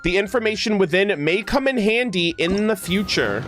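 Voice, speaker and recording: A man streaming a video game, giving casual, playful and expressive commentary in gamer slang. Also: sits high, moderate pace. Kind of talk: casual gaming livestream commentary, reading dialogue aloud.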